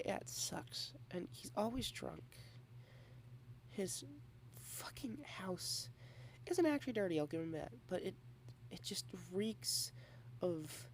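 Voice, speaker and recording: A woman speaking softly in a close-mic ASMR girlfriend roleplay, shy and rambling, with halting repeated starts and frequent half-whispers. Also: fairly low pitch, creaky. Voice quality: soft, often half-whispered.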